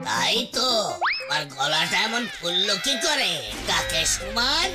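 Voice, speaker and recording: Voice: medium pitch, very animated, a little slow. An actor voicing a flustered, panicky crow leader in a cartoon, pleading, repeating words and cawing between phrases. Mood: alarmed, puzzled. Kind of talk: cartoon voice acting. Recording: children's cartoon music behind.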